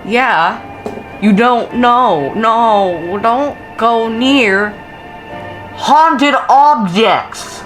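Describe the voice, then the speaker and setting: Reaction video host: excitable, nervy, casual, prone to exclaiming and repeating, over clear music. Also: mid-range voice, extremely slow, poor audio. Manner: jittery, exclamatory, repeats phrases.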